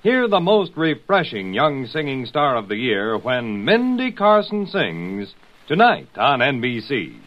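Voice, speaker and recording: A man's voice: mid-range voice, wildly swooping pitch, a little slow. A man announcing a network promo in mid-century radio style. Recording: muffled vintage radio sound.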